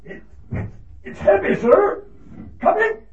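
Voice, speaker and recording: A man's voice: very high voice, expressive, slow, full character voice. A man playing a respectful police constable, calling out with effort in an old-time radio drama. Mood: straining, urgent. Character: deferential, hard-working male county police constable. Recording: dull, echoey, distant vintage radio recording.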